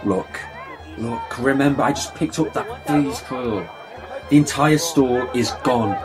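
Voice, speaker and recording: A man's voice: mid-range voice, slow pace, close to an everyday voice. A man playing a clever, self-assured time traveller in a fan audio drama, explaining things confidently. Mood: brisk, puzzled. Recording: music bed behind voice.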